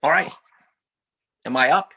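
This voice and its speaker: A man in his seventies giving a humble, halting acceptance speech over a video call, with many fillers and self-deprecating jokes. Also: on the high side, bright, forceful tone, breathy edge. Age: 70s.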